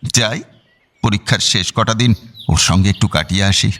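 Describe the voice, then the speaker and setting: A man's voice: fairly low pitch, normal speed, fairly bright tone. Doting, fussy older father. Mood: affectionate, wistful. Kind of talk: radio drama acting.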